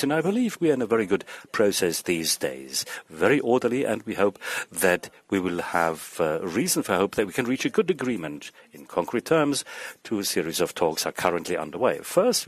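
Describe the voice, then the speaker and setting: Low male voice interpreting a business speech, kinda halting, rephrases a lot, really dry close sound. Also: no echo. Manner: halting, with reformulations. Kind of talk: formal spoken business address, loosely phrased.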